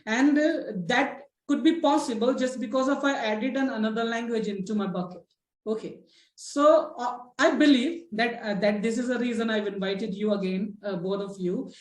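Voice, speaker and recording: A woman's voice: fairly high pitch, normal speed, roomy sound. A woman with an Indian English accent, a native Hindi speaker, hosting a casual discussion and talking in a chatty way, with many fillers and restarts and frequent "okay, right?" check-ins. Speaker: host of a spoken English discussion.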